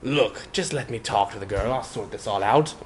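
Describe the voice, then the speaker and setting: A man's voice: fairly low pitch, moderate pace, close to an everyday voice. A man voicing a crass, smug male enchanted hat with a theatrical, coaxing, pompous manner, in a dramatic story reading.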